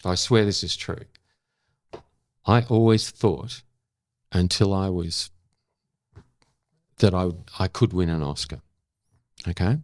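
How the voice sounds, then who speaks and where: A seventy-year-old Australian man talking reflectively as an interview guest, thoughtful and halting, repeating words for emphasis. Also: deep voice, darkish tone, dry recording, clean studio sound. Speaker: interview guest, former journalist and actor.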